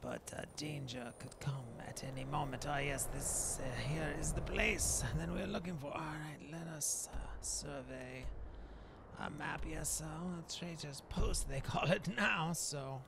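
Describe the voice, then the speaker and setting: Man voicing a slow, creaky, musing dark elf shaman. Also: a little high, heavy background noise. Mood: watchful, musing. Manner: musing, halting, formal old-fashioned phrasing. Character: proud, stubborn male dark elf shaman adventurer. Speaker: gameplay host roleplaying in character. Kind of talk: improvised in-character fantasy game narration.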